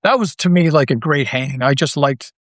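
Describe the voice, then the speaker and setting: Higher-pitched man chatting as podcast guest. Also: moderate pace, dry recording, studio quality. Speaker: podcast guest, audio drama creator. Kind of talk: casual interview chat.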